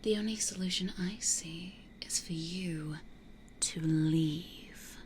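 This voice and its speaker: A woman acts out a vampire lady for an ASMR roleplay. She is possessive and stubborn, with a lowish, hushed, dry delivery and a faint hiss behind her. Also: slow. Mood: possessive, annoyed.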